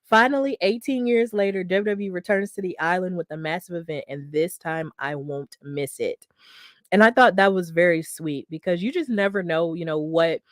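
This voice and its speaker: A woman's voice: lowish voice, dry recording. Chatty woman podcast host, dry sound. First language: English. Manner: chatty, upbeat, with frequent fillers and self-corrections. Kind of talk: solo wrestling news podcast.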